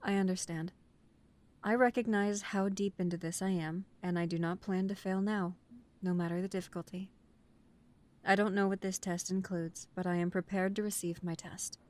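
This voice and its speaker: A woman's voice: medium pitch, fairly even intonation, normal speed, a little mellow, natural voice. A woman playing a nervous, deferential mafia recruit who speaks politely and formally, with hesitation, in an audio roleplay. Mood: resolute, formal.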